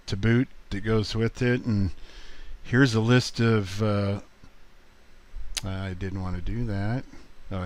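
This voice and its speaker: A man giving a casual, rambling walkthrough as a hobby video host, halting often as he thinks aloud. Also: lowish voice, creaky. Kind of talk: casual screen walkthrough commentary.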